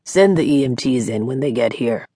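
A woman voicing a solemn young male doctor in an audiobook, calm, firm and deliberate. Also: a bass voice, no room sound, clean studio sound, heavily acted. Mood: quiet, reassuring. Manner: slow, deliberate. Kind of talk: audiobook character dialogue.

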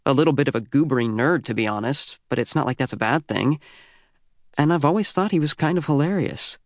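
A man's voice: a little high, lively intonation, fairly quick, no room sound, studio quality. A man with an American accent narrating a first-person teen audiobook in a youthful, wry, conversational way.

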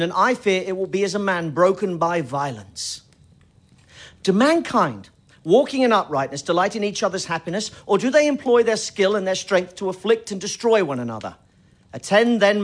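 An actor playing a grave, principled Quaker shoemaker and family patriarch in an audio drama, speaking in a solemn, measured, preaching way. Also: male voice, high-pitched. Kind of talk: audio drama stage acting.

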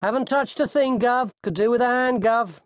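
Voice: medium pitch, moderate pace, no echo, clean studio sound, pitched above the performer's own voice, natural voice. A narrator voicing a cheeky male thief in an audiobook, with slangy, deferential patter. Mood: cheeky pleading. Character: trapped thief, glib, cheeky, breathless cockney-slang chancer, man.

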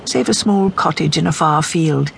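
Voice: medium pitch, normal speed, vocal fry, a bit bright, a little hiss or hum, poor audio. An actor reading as the omniscient storyteller of a fairy tale in a scripted radio drama. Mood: measured storytelling. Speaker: narrator. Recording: music under the voice. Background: music.

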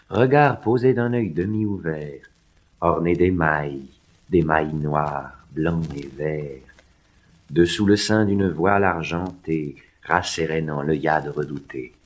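Man reciting poetry real slow, very deep voice, solemn and declamatory. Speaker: poetry reader.

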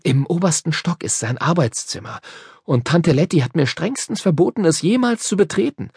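A man playing a miserable young boy in a storybook, earnest and close to tears, his voice lifted above a grown man's. A gravelly, husky texture stays in it, recorded dry and close. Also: a little high, animated, normal speed, a little mellow, dry recording, pitched above the performer's own voice, exaggerated voice. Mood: secretive. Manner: earnest, upset and tearful. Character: unhappy, grubby young country boy. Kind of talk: storybook character voice.

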